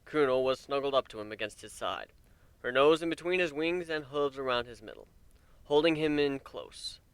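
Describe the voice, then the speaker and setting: A man's voice: medium pitch, moderate pace, clean tone, no echo. A man narrating a story as a dramatic reading.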